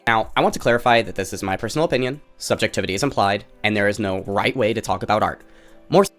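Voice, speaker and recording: Fast, nasal man reading a critique essay. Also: lowish voice, fairly bright tone, faint music behind. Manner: measured, careful disclaimers. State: recovering from illness. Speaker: video essayist. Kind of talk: scripted commentary.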